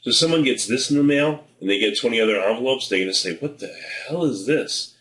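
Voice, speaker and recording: A man's voice: medium pitch, animated, brisk, fairly bright tone. A man presenting informal marketing advice in a direct, persuasive, plain-spoken way. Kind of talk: informal sales advice to camera. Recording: roomy indoor echo.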